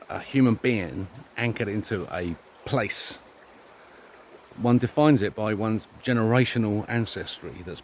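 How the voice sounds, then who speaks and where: A man's voice: on the low side, darkish tone, slight background noise. A man with an English accent delivering a combative opinion rant to camera outdoors, firing off rhetorical questions and swearing. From England.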